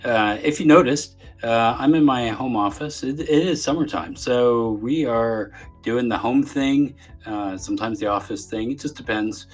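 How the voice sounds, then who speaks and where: A man from Southeast Texas with a Texan accent, hosting a casual podcast interview from his home office, talking in a relaxed, rambling way with lots of fillers. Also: medium pitch, a little slow, hardly any pauses, soft background music. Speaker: podcast host.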